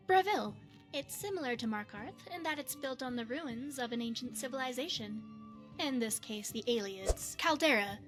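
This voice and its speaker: A woman voicing a poised, secretive retired spy and mage in a fantasy game, speaking in a measured, formal, coy way. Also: on the high side, fairly bright tone. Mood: polite, conversational. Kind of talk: scripted fantasy game character dialogue.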